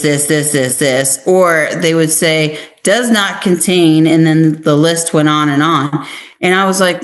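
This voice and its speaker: American woman chatting in an interview, pretty low voice, earnest and emphatic. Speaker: interview guest, actress and author. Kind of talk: conversational interview answers.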